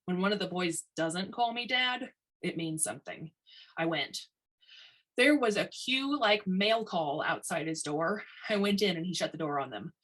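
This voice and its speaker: A woman's voice: on the low side, expressive, moderate pace, a bit bright. A woman reading a short story aloud as a narrator. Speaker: reader of short stories.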